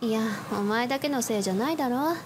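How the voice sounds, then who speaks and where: Loud noise and clicks surround a high female voice. A woman plays a breezy tomboy schoolgirl in a radio drama, blunt and casual, with rough boyish phrasing. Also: quick pace, close to an everyday voice. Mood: rueful.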